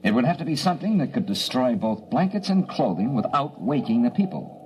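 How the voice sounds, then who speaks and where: A man's voice: mid-range voice, sing-song, brisk, a little mellow, slight background noise, close to an everyday voice, poor audio. A man acting an earnest, agitated colony biologist in an old-time radio science fiction drama, stammering and insistent. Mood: puzzled, reasoning. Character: earnest, flustered male colony biologist on an alien planet. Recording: vintage radio drama recording.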